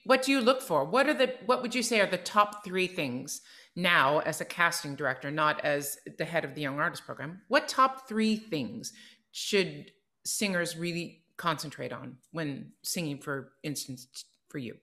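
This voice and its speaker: A woman with a fairly low voice co-hosts a casual video call interview. She tells stories and asks open questions in a chatty way. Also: lively intonation, normal speed, bright, forceful tone. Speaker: podcast co-host, opera singer.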